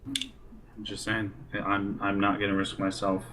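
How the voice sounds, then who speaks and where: A man's voice: lowish voice, huge pitch swings, measured pace, bright, forceful tone, slight background noise. A man talking casually and cautiously as a player in a group role-playing game. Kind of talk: casual in-character group planning. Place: online voice session for streamed role-play game.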